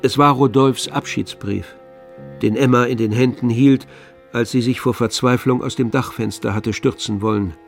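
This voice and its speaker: Male radio drama narrator, medium pitch, literary storytelling, light music bed. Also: fairly even intonation, normal speed, vocal fry. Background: faint string music.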